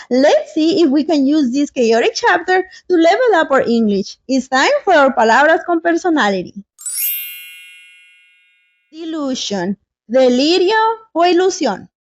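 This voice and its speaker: A woman's voice: sits high, dry recording, muffled recording. A woman hosting a read-along English lesson, narrating and chatting in a playful, dramatic, slangy way.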